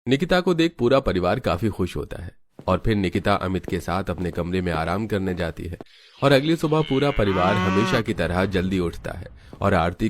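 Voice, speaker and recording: A man narrating a scripted family cartoon story. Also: low voice.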